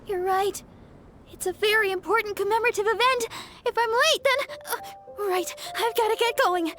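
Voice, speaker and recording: A flustered, stammering young organizer. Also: female voice, very high voice, unhurried, fairly bright tone, faint music behind. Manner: nervous and stammering. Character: flustered, trusting young woman event organizer. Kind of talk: video game cutscene dialogue.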